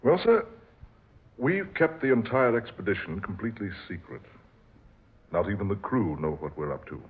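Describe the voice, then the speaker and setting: An actor playing a shrewd, persuasive treasure-expedition backer who speaks in a measured way, in 1940s radio acting style. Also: male voice, on the low side, animated, slightly rough. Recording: tinny old radio recording.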